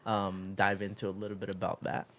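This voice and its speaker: A man's voice: sits low, monotone, brisk, a bit bright. Casual, slangy male interview host. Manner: informal, slangy, swears casually.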